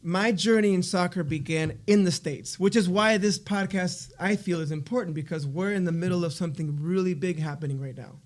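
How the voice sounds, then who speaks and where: A man from Argentina co-hosting a casual podcast, joking and energetic as he leads the questions. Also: high-pitched, brisk, hardly any pauses. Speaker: podcast co-host. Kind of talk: casual chat. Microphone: studio podcast mic.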